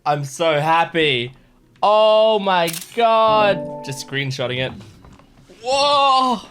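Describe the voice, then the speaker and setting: Guy streaming a game, chatty n excitable, bright voice, music playing behind him. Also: sits high, very slow. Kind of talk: casual gameplay commentary.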